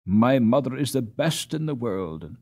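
A man's voice: medium pitch, expressive, moderate pace, darkish tone. A man voicing an earnest, dutiful Dutch boy in an audiobook, speaking a little hesitantly. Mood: earnest, troubled. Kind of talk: audiobook character reading.

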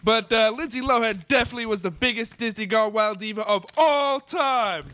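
Brash, very high-pitched rap star played by man. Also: moderate pace, sharp tone, clean tone, no echo. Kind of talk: live radio theatre comedy. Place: college classroom stage, indoors.

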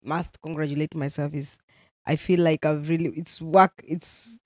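A woman's voice: sits low, moderate pace, dry recording, clean studio sound. A young Kenyan woman with a Kenyan accent, a gospel musician and native Swahili speaker, talking animatedly as an interview guest. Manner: animated, mixing in Swahili phrases. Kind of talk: casual interview.

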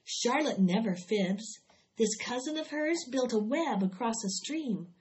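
A woman's voice: a little high, lively intonation, unhurried, darkish tone, voice pushed up. A woman reading aloud, voicing an eager, imaginative little farm girl who talks earnestly and insistently. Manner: earnest, insistent, breathless storytelling. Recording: dull lo-fi recording.

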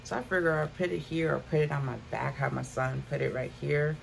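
A woman's voice: low voice, fairly even intonation, fairly quick, gravelly, a little hiss or hum. A woman in her fifties chatting casually to viewers from her bedroom about items she bought, calling them y'all. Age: 50s. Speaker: haul vlogger. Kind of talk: casual haul chat. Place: bedroom at home.

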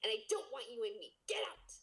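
A woman acts out a foul-mouthed man in a read-aloud story, both frightened and furious. The voice is high and jumps around in pitch as he snaps in exasperation. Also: big pitch swings, fairly quick, a bit bright. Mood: snapping, angry. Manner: snapping, exasperated.

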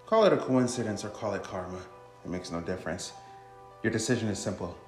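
Man acting brooding game hero, male protector, deep, grave, formal, roomy recording. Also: brisk, a little mellow, soft background music, close to an everyday voice. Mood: stern.

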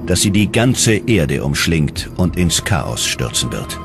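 A man narrating a scripted documentary in a dramatic, measured storytelling manner. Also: a bass voice, very animated, gravelly, over loud music.

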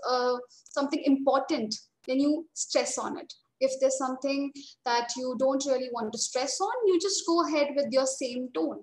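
A young woman with an Indian English accent teaches a webinar in a high, clear voice. She explains steadily and reassuringly. Her voice comes through a video call with a roomy echo. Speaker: IELTS trainer.

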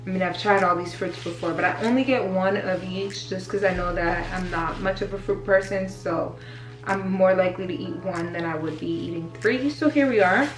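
A woman's voice: fairly low pitch, moderate pace. A young woman in her twenties chatting playfully to the camera in a casual grocery haul vlog, filmed in a small home kitchen on the camera's own mic. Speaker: vlogger. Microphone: camera mic at close range. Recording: echoey kitchen with faint music.

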